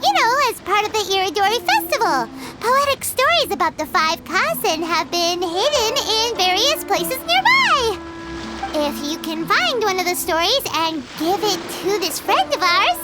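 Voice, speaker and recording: A woman voicing a small, childlike, excitable fairy companion in a video game, squeaky and bubbly with chatty exclamations. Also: exaggerated voice. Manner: bubbly, exclamatory, refers to self by name. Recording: background music under the voice.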